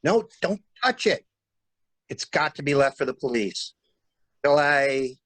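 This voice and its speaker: A man acting a brisk, take-charge stranded traveler in a dramatic mystery reading. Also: a little high, lively intonation, fairly bright tone, no room sound. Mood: firm, commanding. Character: male stranded traveler, brisk, commanding, takes charge at crime scene. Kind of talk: dramatic reading of a stage-style murder mystery.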